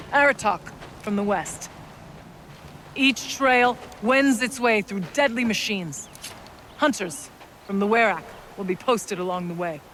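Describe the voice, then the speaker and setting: A solemn game chieftain, bright firm voice, faint hiss behind. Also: female voice, a little high. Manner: solemn, formal. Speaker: game character.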